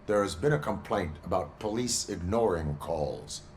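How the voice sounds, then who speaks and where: A very low-voiced cyborg policeman for a video game. He speaks formally and without emotion, stiff and machine-like, with faint noise behind him. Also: expressive, normal speed. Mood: flat, stoic. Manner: stilted, formal, emotionless delivery. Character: cyborg police officer, male, stoic and robotic.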